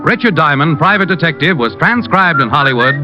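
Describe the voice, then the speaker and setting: Lively higher-voiced vintage radio announcer man. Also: fairly quick. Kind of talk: formal 1950s broadcast announcing. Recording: dull old radio recording. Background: orchestral theme music.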